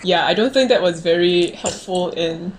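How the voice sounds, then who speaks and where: Casting: female host, late 20s, Singaporean accent, lower register, conversational, audible background noise. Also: normal speed, low-quality recording. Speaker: host of a conversational video series. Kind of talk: casual chat.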